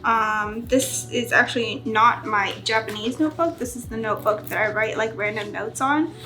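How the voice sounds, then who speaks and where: A woman's voice: a little high, moderate pace. A woman chatting casually as a study vlogger, carefully sounding out vocabulary as she learns. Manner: self-conscious, careful when pronouncing foreign words. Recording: background music under voice. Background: music.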